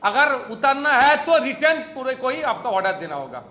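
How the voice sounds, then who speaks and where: A man's voice: very high voice, sing-song, normal speed, bright, forceful tone. A man making a blunt, colloquial spoken statement in a news clip. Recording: echoey location news clip.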